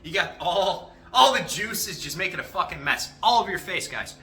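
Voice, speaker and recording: A young man giving a casual, rambling motivational talk to his viewers, cursing freely and animated. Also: high-pitched, normal speed. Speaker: motivational vlogger. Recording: echoey room, faint music.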